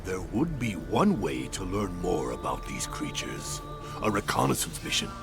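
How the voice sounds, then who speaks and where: Skeptical fantasy ruler, low gravelly voice, commanding, intonation that moves widely. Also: male voice, huge pitch swings, normal speed, over music, exaggerated voice. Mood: calculating. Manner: authoritative, commanding. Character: stern, skeptical male council leader. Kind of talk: dramatic fantasy game acting.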